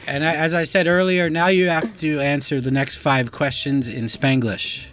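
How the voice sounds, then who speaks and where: A man's voice: a little high, steady tone, normal speed, a bit bright, faint music behind. A man co-hosting a casual interview, teasing the guest in a jokey way. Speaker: co-host.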